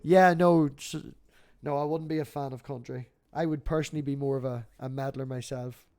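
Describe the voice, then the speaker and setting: A man's voice: medium pitch, fairly even intonation, fairly quick, darkish tone. A middle-aged man chatting casually on a livestream, talkative and sweary, telling anecdotes. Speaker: livestream host.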